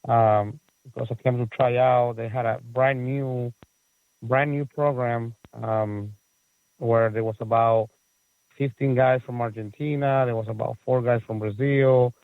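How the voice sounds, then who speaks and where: Chilean man on a tinny line, gravelly. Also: medium pitch, fairly even intonation, measured pace, dry recording. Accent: Chilean Spanish. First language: Spanish. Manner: rambling, many fillers and restarts. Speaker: guest, soccer facility owner and coach. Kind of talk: casual interview talk.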